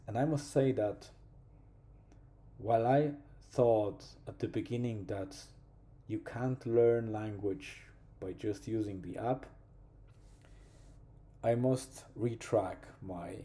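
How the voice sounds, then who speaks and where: Man with a Polish accent, vlogger, unhurried, very dark mellow tone, thoughtful pauses. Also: fairly low pitch. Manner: slow, thoughtful, pausing to find words. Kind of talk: reflective personal vlog.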